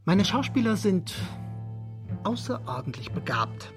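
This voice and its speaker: Middle-aged film director for radio play: devoted, self-deprecating, theatrical delivery over music. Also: male voice, high-pitched, big pitch swings, unhurried. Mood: wry, reflective. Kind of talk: first-person radio play narration and dialogue.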